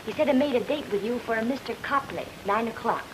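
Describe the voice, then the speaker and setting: An actress playing a detective's jealous, sharp-tongued sweetheart, with tart delivery in a 1940s film noir style. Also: female voice, fairly high pitch, expressive, fast, background noise, natural voice. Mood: businesslike. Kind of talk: 1940s film noir comedy-mystery acting.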